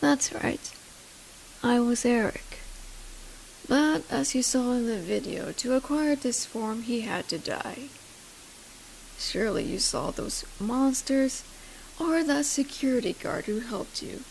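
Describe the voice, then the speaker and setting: A woman acting an obsessive, possessive scientist in an audio roleplay, coaxing the listener with drawn-out words and breathy drops toward a whisper. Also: on the high side, lively intonation, a little mellow, slight background noise, full character voice, poor audio. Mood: possessive, gloating.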